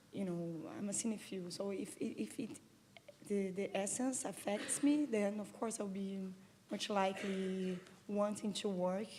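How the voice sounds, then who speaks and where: Earnest woman co-producer, flat mellow voice. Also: medium pitch, a little hiss or hum. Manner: earnest, halting, restarts often. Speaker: film co-producer. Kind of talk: panel discussion answer.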